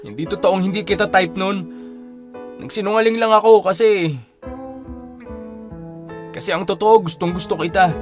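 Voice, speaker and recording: A man's voice: high voice, moderate pace, pauses often, faint music behind, natural voice. An actor playing a young man confessing long-hidden love in a romantic radio drama, pleading and hesitant, often repeating his phrases. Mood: earnest confessing.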